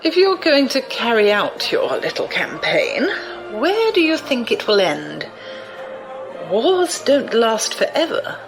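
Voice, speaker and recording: A woman's voice: on the high side, huge pitch swings, slow pace, over music, full character voice. An actress playing a sly, amused, commanding old noblewoman in an audio drama, with refined, teasing, theatrical delivery. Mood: wry, commanding. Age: older.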